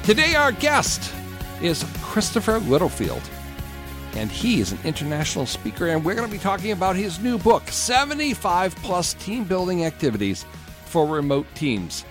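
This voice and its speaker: A man's voice: high-pitched, very animated, moderate pace, a bit bright, faint music behind. A man hosting a radio talk show, reading a scripted promotional intro and then affirming his guest as he interviews him.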